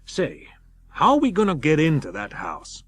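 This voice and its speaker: Man doing a forthright, emphatic American gentleman. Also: a little high, huge pitch swings, moderate pace, exaggerated voice. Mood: wary, emphatic. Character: practical, plain-spoken American gentleman adventurer, wary of risk. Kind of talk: dramatised audiobook character voice.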